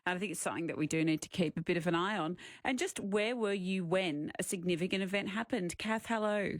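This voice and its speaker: A woman's voice: lowish voice, fast, no room sound, clean studio sound. An Australian woman hosting a radio talkback show in a casual, chatty style. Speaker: radio drive show host. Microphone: close studio microphone.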